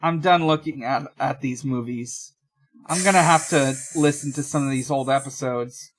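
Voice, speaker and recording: A podcast co-host chatting casually in a halting, rambling way. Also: mid-range voice.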